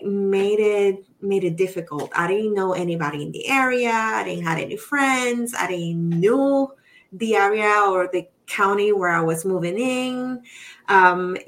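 A woman's voice: medium pitch, a bit bright. A middle-aged woman from Puerto Rico with a Spanish accent, answering as an interview guest in an earnest, reflective way and often searching for her words. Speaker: podcast guest, real estate professional. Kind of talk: casual interview conversation.

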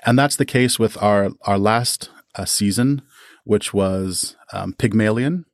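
A man's voice: on the low side, takes it slowly, dry recording, studio quality. A man answering interview questions as a podcast guest, explaining his craft conversationally and with enthusiasm. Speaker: audio drama producer and performer, podcast guest.

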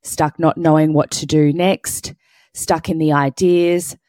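Husky-voiced woman coach, lowish and dry. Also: darkish tone, no room sound. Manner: explains step by step in clear lists. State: hoarse, sore throat. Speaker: podcast host and business coach. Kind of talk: solo podcast teaching.

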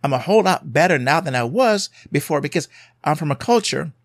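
A man's voice: a little high, animated, a little fast, no room sound, clean studio sound. A man with a Jamaican accent who hosts a podcast as a marriage coach. He gives advice in an earnest, conversational way and often checks in with his listeners. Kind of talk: solo podcast advice talk.

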